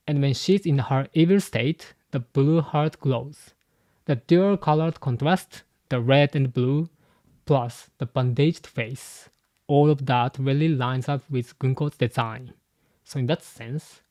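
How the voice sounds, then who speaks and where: A man's voice: medium pitch, darkish tone, dry recording. Man explaining, dry sound. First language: Japanese. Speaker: YouTube host and translator. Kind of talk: scripted commentary.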